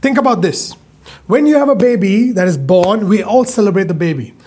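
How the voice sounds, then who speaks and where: Male preacher, high husky voice, emphatic, stretches words for weight. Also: expressive, a little mellow. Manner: emphatic, repeats phrases, stretches key words slowly. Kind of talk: sermon.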